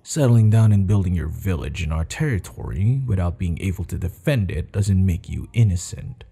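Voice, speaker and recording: A low, heavily gravelled male warchief acted by a man in an ASMR roleplay. He is proud and commanding with a teasing edge, taking his time over formal, measured words, and his pitch moves expressively. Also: animated, mellow, heavily acted. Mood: stern, defiant. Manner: slow, measured, stern yet amused, formal phrasing.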